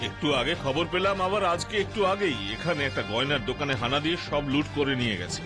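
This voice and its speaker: Man voicing a worried cartoon gentleman over music. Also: fairly high pitch, quick pace, lightly acted. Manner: hesitant, anxious. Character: worried, hesitant, polite wealthy gentleman host in cartoon. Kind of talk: cartoon dubbing in Bengali.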